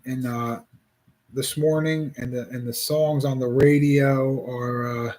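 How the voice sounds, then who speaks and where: Halting, rambling man in his forties. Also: mid-range voice, darkish tone. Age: 40s. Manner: rambling, halting, with many restarts. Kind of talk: casual reflective monologue.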